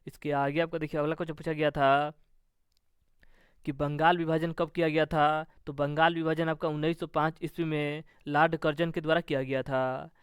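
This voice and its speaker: Clear, even-toned man teaching. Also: a little high, normal speed, no room sound, clean studio sound. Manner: methodical, repetitive question-then-answer delivery. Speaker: exam coaching teacher. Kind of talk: instructional question-and-answer exam review.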